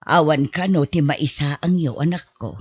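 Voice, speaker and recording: A woman's voice: low-pitched, moderate pace, breathy edge, dry recording. A woman playing a weary, grudging older mother-in-law and grandmother who scolds, in an amateur audio drama. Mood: grudging, scolding. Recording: dull, dry lo-fi podcast audio.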